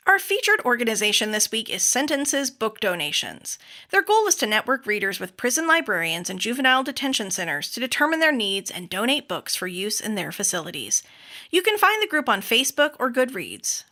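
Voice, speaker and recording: A woman from the United States hosting a podcast, with an upbeat, animated way of talking. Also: medium pitch. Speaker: podcast host. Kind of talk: scripted introduction and chatty interview.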